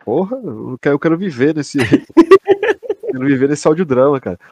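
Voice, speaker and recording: A man's voice: fairly high pitch, huge pitch swings, normal speed, a little mellow, husky, dry recording. A young man with a Brazilian accent co-hosting a podcast in a casual, slangy and sweary way. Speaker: podcast co-host. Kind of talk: casual chat about a sci-fi audio drama.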